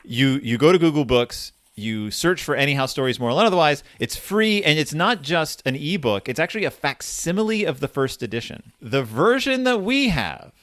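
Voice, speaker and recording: A man's voice: fairly high pitch, big pitch swings, normal speed, few pauses, a bit bright, dry recording, studio quality. A man hosting a podcast, talking through books in a casual, rambling, self-interrupting way with an explainer's enthusiasm. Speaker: podcast host. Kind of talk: casual book discussion.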